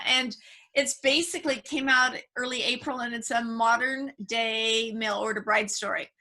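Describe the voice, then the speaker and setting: A woman's voice: a little high, sharp tone, low-quality recording. A woman, an author introducing herself casually as a panel guest. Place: at home on a video call.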